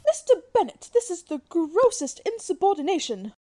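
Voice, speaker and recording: A woman's voice: very high voice, sing-song, moderate pace, warm tone. A woman playing a domineering, melodramatic, self-pitying middle-aged mother in a radio drama, speaking imperiously. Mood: bossy, indignant. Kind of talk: theatrical radio drama acting.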